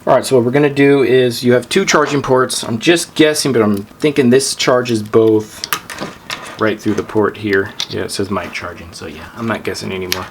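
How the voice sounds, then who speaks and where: A man's voice: medium pitch, fairly even intonation, normal speed. A man hosting a gadget review, chatting casually and informally as he demonstrates things. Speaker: product review host. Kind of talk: casual hands-on demo chat. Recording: very noisy amateur camera audio.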